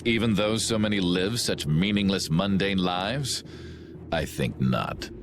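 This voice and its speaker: A man voicing a cold, grandiose villain commander in a video game, speaking in a pompous, measured, theatrical way. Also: low voice, animated, background noise. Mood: cold, imperious.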